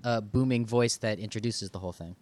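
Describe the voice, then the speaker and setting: A man hosting a podcast in casual interview chat, with tentative, halting phrasing. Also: lowish voice, a little mellow, no room sound. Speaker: podcast host.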